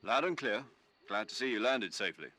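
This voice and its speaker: An actor playing a middle-aged military commander in a video game, briefing an agent in an authoritative, precise and expressive way. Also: male voice, fairly low pitch, fairly quick. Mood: firm, commanding. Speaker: mission commander.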